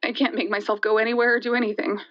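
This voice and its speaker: Actress as haunted young female radio caller. High voice, earnest and halting, guilt-ridden, lo-fi sound. Audio drama confession. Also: little pitch movement, natural voice. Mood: guilty, resigned. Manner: halting, earnest, emphatic repetition, sighing. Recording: dull, lo-fi caller line.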